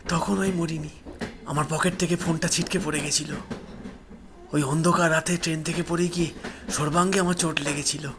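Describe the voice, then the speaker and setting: A man's voice: on the high side, noisy background, natural voice. A man voicing the grieving ghost of a betrayed young man, plaintive and imploring, repeating himself with heavy feeling. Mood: anguished recounting.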